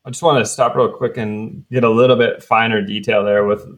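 A man hosting a podcast, chatting in a relaxed way and thinking aloud as he explains. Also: fairly low pitch, a little fast. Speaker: podcast host, researcher. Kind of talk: casual podcast chat.

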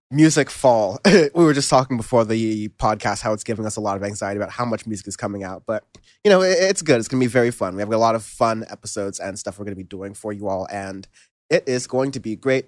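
A man's voice: fairly low pitch, fast, dry recording. Casual male podcast host, dry close mic. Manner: relaxed, rambling, lots of fillers and restarts. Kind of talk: casual music review chat.

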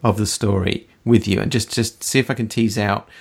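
A soft-spoken middle-aged man hosts, asking his questions in a slow, considered way. His voice is gravelly with a husky, breathy edge. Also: on the low side, steady tone. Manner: measured, thoughtful, soft-spoken. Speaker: podcast host. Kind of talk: interview questions.

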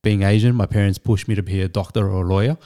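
A man, a Vietnamese speaker, telling his story as a guest in a measured, thoughtful way with frequent restarts. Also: fairly low pitch, fairly even intonation, mellow. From Australia. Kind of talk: reflective storytelling.

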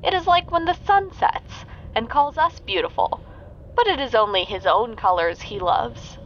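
A woman's voice: fairly high pitch, normal speed, fairly bright tone, lo-fi sound, a little hiss or hum, close to an everyday voice. A woman voicing the clouds as a scornful, scoffing group in a fairy tale, speaking with disdain. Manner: disdainful, mocking.

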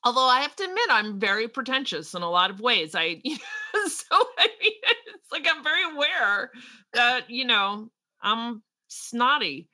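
Animated, bright-voiced woman. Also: a little high. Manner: animated, quick asides, frequent laughs. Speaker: podcast co-host. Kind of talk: casual political chat.

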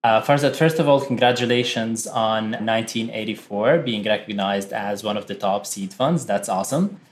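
A man's voice: on the low side, moderate pace, slight background noise. A male podcast host reading a guest introduction, then welcoming the guest with a few filler words. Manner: reads a formal bio, then speaks with filler words. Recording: music bed under voice.

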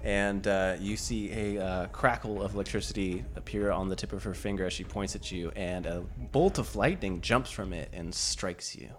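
Low-voiced man running a tabletop game. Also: moderate pace, hardly any pauses. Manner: casual, filler-heavy, laughs often. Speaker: game master.

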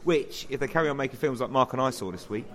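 A man's voice: mid-range voice, fast, fairly bright tone. A man co-hosting a podcast in casual review chat, with dry, teasing banter. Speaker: podcast co-host. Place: pub. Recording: busy pub crowd noise behind. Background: chatter of pub crowd.

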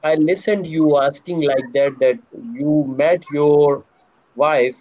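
A man's voice: fairly high pitch, steady tone, slow pace, no room sound. Man asking questions over a tinny line. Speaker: interviewer. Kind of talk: interview questions.

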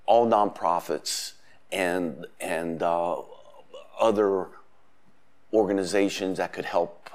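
A man hosting a community TV show, enthusiastic and hesitant, often using fillers. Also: lowish voice. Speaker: host. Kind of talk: community TV interview.

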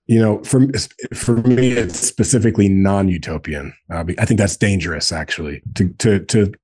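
A man's voice: low voice, moderate pace, darkish tone, breathy edge. A man in his forties speaking earnestly in a casual podcast conversation, often repeating words for emphasis. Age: 40s. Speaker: guest, men's group co-founder.

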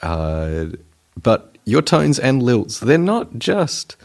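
A man interviewing a guest in a casual chat, halting with many fillers. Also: medium pitch, big pitch swings, vocal fry, darkish tone. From Australia. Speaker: podcast interviewer.

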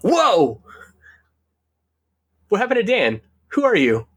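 A man co-hosting a podcast in casual conversation, with a husky, rough-edged voice. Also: high voice, wildly swooping pitch, slow pace, a bit bright. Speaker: podcast co-host. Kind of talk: casual chat.

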